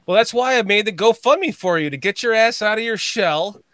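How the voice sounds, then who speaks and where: A man's voice: high-pitched, animated, a little fast, fairly bright tone, dry recording. A man hosting a podcast, reading out viewer messages and teasing his guest. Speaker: podcast host. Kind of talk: casual chat, reading viewer chat.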